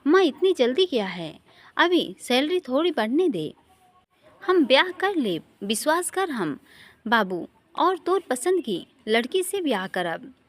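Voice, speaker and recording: Earnest young man in love, high-voiced woman reading. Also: big pitch swings, unhurried, exaggerated voice. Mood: confiding. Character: wistful young man confiding his love story.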